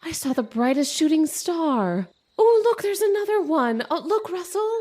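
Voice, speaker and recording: A woman playing an affectionate, playful, excitable wife in an old-time radio drama. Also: extremely high pitch, moderate pace. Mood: excited, awed.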